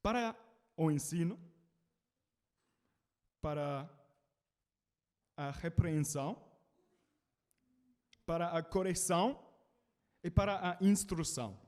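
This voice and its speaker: British male preacher, learner Portuguese, high animated voice, unhurried delivery. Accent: British English. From Britain. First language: English. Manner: animated, calls out to the congregation.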